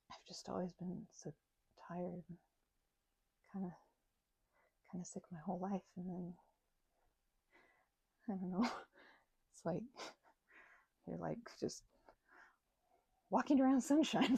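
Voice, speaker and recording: A woman playing a timid, grateful vampire in an intimate audio roleplay, stammering and nervously flustered, with a soft, breathy voice. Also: mid-range voice, no echo, heavily acted, low-quality recording. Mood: shy, grateful.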